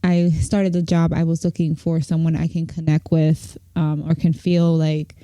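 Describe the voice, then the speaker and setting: A woman's voice: low-pitched, completely flat delivery, moderate pace, warm tone, no room sound. A young woman from Brooklyn, co-hosting a podcast in casual chat, giggly and full of restarts. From Brooklyn, New York. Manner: chatty, giggly, trailing restarts. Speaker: podcast co-host.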